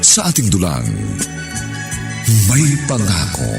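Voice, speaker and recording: A Filipino male radio drama announcer with a lowish voice and hugely animated intonation. He delivers a dramatic scripted announcement over loud music. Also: takes it slowly.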